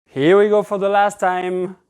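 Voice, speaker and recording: Higher-pitched Frenchman teaching casually. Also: level tone, unhurried, a little mellow, a little hiss or hum. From France. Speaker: pizza-making instructor. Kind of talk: casual cooking demonstration. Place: indoor kitchen with stone counter.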